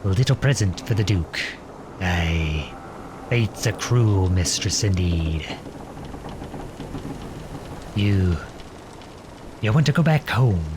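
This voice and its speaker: A man playing a gruff but caring pirate captain in an audio roleplay, commanding and full of salty slang. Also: low voice, expressive, slow pace, noisy background. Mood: awed, musing. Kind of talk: ASMR roleplay audio drama.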